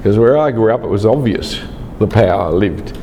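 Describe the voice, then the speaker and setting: A man's voice: on the low side, animated, moderate pace, mellow, big-room sound, slight background noise. A man from Australia, giving a lecture to a large group, chatty and teasing the audience.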